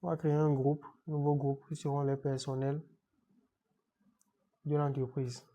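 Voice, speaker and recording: A man with a head cold walks through a computer setup in an unscripted screencast tutorial. He stumbles and repeats words, pausing often to check in with the viewer. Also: fairly high pitch, little pitch movement, warm tone, lo-fi sound. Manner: halting, many repeated words and restarts. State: has a cold, clearing throat. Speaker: IT tutorial presenter.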